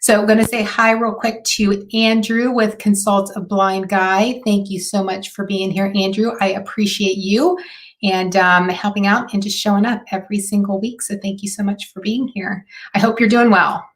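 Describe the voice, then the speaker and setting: A woman hosting a live marketing stream, her voice hoarse and raspy, talking in a chatty way with many fillers. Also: mid-range voice, little pitch movement, moderate pace. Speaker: host.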